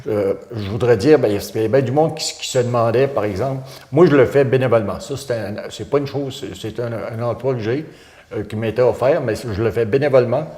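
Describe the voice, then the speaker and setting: A man's voice: mid-range voice, brisk, dark tone. Hesitant man, echoey room. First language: French. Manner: hesitant, many fillers and restarts. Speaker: volunteer internet radio host, interview guest. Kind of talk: casual interview answers.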